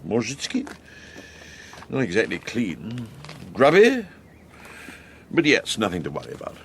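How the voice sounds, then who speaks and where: Arch, urbane, low-voiced British man. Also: huge pitch swings, measured pace, creaky, a bit bright, a little hiss or hum, heavily acted. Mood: dryly amused. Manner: arch, mannered phrasing. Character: arch, urbane intelligence man, whimsical and knowing. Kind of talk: radio drama acting.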